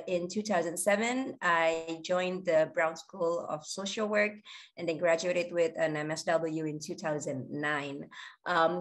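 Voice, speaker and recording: A middle-aged woman from Indonesia introduces herself on an online panel in a friendly, halting way. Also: fairly low pitch, a bit bright. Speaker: panelist, social work lecturer. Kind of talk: self-introduction. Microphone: video call.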